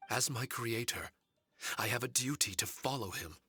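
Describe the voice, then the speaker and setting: A man playing a solemn, courteous male spirit servant in an anime game, speaking formally and earnestly in a measured way. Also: mid-range voice, bright, forceful tone, breathy edge. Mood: solemn, resolute. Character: solemn, courteous male shikigami spirit warrior with fragmented memories. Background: faint music.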